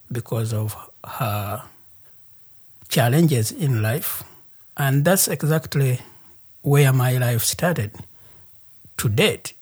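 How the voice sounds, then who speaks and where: A man with a Ugandan accent telling a personal story as an interview guest, in deliberate, halting phrases. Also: mid-range voice, slightly rough. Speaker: interview guest, human rights activist.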